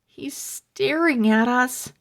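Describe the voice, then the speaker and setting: A woman voicing a young boy, the scared friend, speaking in a hushed, nervous way. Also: sits high, takes it slowly, pitched above the performer's own voice, full character voice.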